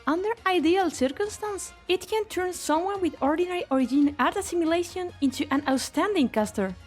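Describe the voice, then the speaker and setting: A woman acts out a spirited young scientist-caster in a game drama. Her voice is high and expressive with a wry edge, over faint background music. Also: fairly quick. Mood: urgent explaining. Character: spirited, loyal young female researcher and spellcaster. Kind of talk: amateur fan dub game drama acting.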